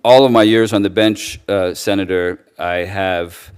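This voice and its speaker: A man giving formal testimony as a judicial nominee, answering carefully with a hesitant, halting, stammering delivery. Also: deep voice, steady tone, clear, resonant tone.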